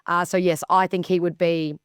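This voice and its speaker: A woman's voice: lowish voice, flat delivery, normal speed, clear, resonant tone, no room sound, studio quality. An Australian woman co-hosting a horse racing show, chatting and commenting with emphatic enthusiasm. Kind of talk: casual racing chat and commentary.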